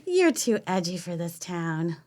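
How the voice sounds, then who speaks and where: A woman's voice: on the low side, very animated, measured pace, darkish tone. A woman acting an audio play role as an effusive, breathless, flirtatious superfan of a cooking show. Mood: insistent, admiring.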